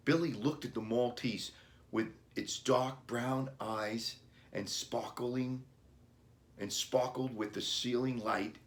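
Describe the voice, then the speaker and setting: Slow, deliberate man reading a storybook. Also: on the low side. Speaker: author reading his children's book. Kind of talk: children's storybook narration.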